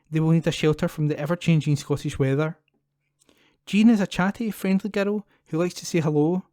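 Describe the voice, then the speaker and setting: A man with a slightly high, soft-toned voice reads scripted local news items aloud. He delivers them as a volunteer newspaper reader, recorded close and dry at home. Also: no room sound.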